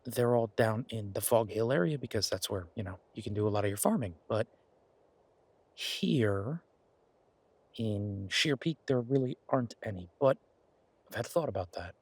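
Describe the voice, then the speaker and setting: A man playing an affectionate, eager boyfriend in an audio roleplay, talking in a casual, halting, coaxing way with a husky voice. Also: on the low side, animated, no echo. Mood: eager, persuasive. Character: affectionate, eager boyfriend pitching grand ideas to his werewolf girlfriend.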